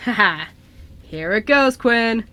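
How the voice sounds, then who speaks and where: A woman voices a forgetful, playful young guy who happens to be a ghost. The pitch moves around a lot, and the casual delivery halts and hesitates, as in a homemade radio drama. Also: expressive, takes it slowly, a bit bright. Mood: playful, assured. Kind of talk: amateur online radio drama reading.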